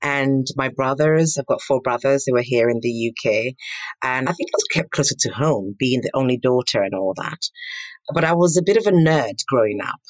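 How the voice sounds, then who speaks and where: A middle-aged woman from Nigeria, telling her story as an interview guest in an animated, emphatic, self-assured way. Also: a bass voice, normal speed, no echo, rough recording. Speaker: guest, entrepreneur. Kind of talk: interview answers.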